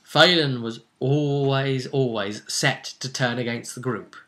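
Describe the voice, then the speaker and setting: A man's voice: medium pitch, a little slow, pushed and bright. A man hosting as game master, giving a casual recap of a tabletop role-playing campaign. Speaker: game master and vidcast host.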